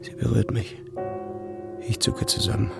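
A man's voice: a little slow, natural voice. An actor playing a detached, introspective man narrating in the first person in a radio drama, in a subdued manner. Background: music.

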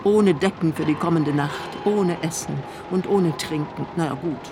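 A woman's voice: deep voice, normal speed, music in the background, lightly acted. An actress playing a frail, eccentric woman in her eighties, imperious and needling, in a German radio play. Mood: complaining. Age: elderly. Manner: theatrical, scolding, pleading.

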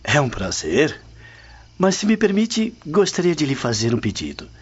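An actor with a Brazilian accent playing a suave, courteous Roman gentleman flirting in a radio drama, smooth and teasing. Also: a little high, wildly swooping pitch, normal speed, lo-fi sound, full character voice. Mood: flirtatious, teasing.

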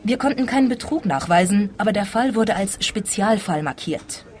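An actress playing a brisk, guarded young insurance investigator in a radio play, businesslike and matter-of-fact. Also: female voice, mid-range voice, expressive, a little mellow, close to an everyday voice. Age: young adult.

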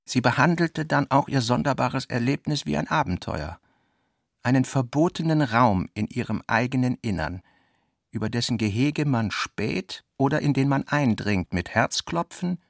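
A man narrates literary prose in a measured, reflective way. His mid-pitched voice has a gravelly creak, and the recording is dry and close, as in a radio production. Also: animated, no room sound.

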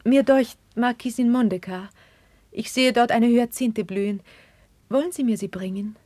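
A woman playing a gentle, dignified young queen in 1950s classical radio drama, with measured, formal stage diction. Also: fairly high pitch, dry recording, natural voice. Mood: gracious, guarded. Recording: dry 1959 radio drama recording.

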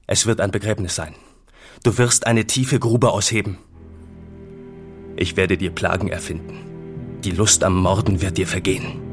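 An actor playing an embittered, suspicious farmer in a radio drama, speaking in a formal, declamatory, accusing way. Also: male voice, sits low, fairly even intonation, normal speed, pauses often, over music. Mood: accusing, vengeful. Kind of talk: German literary radio drama acting.

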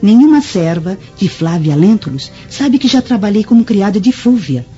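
Actress as a lilting, conniving maidservant. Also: female voice, medium pitch, very animated, moderate pace, warm tone, lightly acted. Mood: reassuring, complicit. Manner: deferential, confiding. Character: loyal, conniving Roman maidservant, deferential and reassuring. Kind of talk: radio drama acting. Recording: dull lo-fi with faint music.

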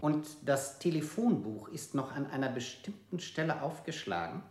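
An actor playing a male interrogator who asks word-association questions in a measured, insistent way. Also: on the high side, sing-song, darkish tone, reverberant. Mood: clinical. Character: cold male interrogator, clinical and persistent. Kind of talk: radio drama acting.

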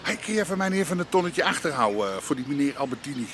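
An actor playing an old-style underworld boss in a radio play, speaking in an offhand, terse and probing way. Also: male voice, on the high side, sing-song, very fast, background noise. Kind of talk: naturalistic radio play dialogue.

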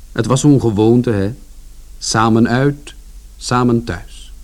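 A man's voice: medium pitch, a little mellow, natural voice. An actor playing a plain-spoken working-class son who tells his story in a colloquial, confiding first-person radio drama narration.

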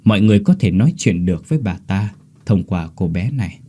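A man narrating puts on a grave sorcerer who drives out spirits in a ghost tale. The delivery is commanding and solemn. The tone is dark and rough-edged, with expressive swings. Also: low-pitched, fast talker. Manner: solemn, pronouncing.

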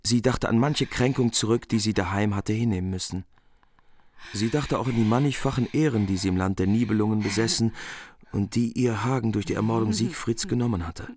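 A man's voice: on the low side, fairly quick, a little mellow, no echo. A man narrating a literary radio play from a script.

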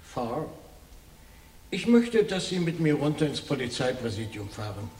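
An actor playing a persistent private detective questioning a suspect in a radio crime drama, speaking in a deliberate, businesslike way. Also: male voice, medium pitch, sing-song, darkish tone. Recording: distant, echoey, noisy room sound.

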